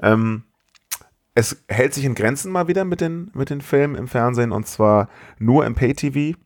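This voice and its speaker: A man's voice: medium pitch, normal speed, a little mellow. A man chatting casually as a podcast co-host, enthusiastic, full of slang and swearing. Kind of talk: casual chat.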